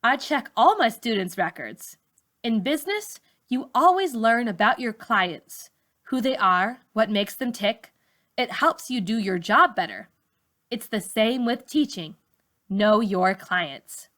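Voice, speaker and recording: Woman reader doing a businesslike forty-year-old lady teacher, measured, matter-of-fact, bright highish voice, storybook. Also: lively intonation. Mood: probing.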